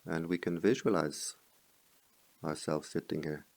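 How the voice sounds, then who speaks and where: Very deep, gravelly man guiding meditation. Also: steady tone, a little mellow, dry recording. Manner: slow, measured phrases with pauses. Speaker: meditation teacher. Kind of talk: guided meditation.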